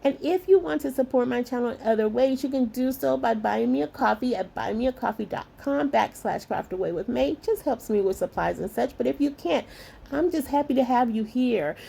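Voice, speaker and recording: Middle-aged lady craft vlogger, bit hoarse, gravelly and mellow, chatty with her lovies. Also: fairly high pitch, animated, fairly quick. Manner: warm, chatty, calls viewers lovies and y'all. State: slightly hoarse, voice going. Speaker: craft channel host. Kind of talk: voice-over craft tutorial, chatty.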